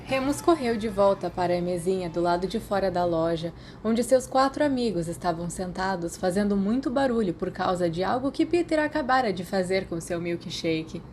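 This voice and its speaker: A woman's voice: medium pitch, animated, normal speed, hardly any pauses, a little mellow, faint music behind. A woman with a Brazilian accent reading scripted fiction as an audiobook narrator. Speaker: podfic narrator.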